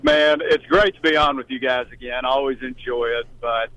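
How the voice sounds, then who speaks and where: A man's voice: medium pitch, huge pitch swings, brisk, creaky, a bit bright. A man who works as a sports announcer, giving conversational analysis as a radio guest. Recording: dry, slightly dull remote-guest audio.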